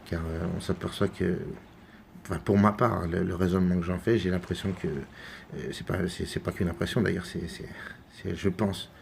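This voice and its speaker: A man from France giving unscripted religious commentary, halting and full of repeated words and fillers. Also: sits low, steady tone, vocal fry, a little hiss or hum. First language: French. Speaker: religious video maker.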